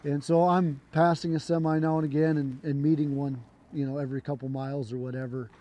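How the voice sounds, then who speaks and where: A man with a hoarse voice, still recovering from a cold, casually telling a long story to camera. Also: on the high side, fairly quick. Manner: relaxed, rambling, with fillers and restarts. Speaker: trick shooter and channel host. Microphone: camera mic.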